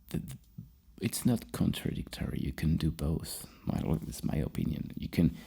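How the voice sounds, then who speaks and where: A man speaking softly and breathily as an ASMR psychologist, asking questions in a halting, musing way. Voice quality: soft, breathy, gravelly low murmur. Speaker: ASMR roleplay host playing a psychologist. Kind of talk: soft-spoken ASMR questioning.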